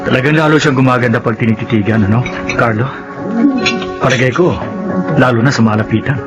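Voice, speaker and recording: A man's voice: medium pitch, brisk, close to an everyday voice. An actor playing an eager, restless young male engineer in love at first sight, in a radio drama. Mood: infatuated, impatient. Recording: loud music behind the voice.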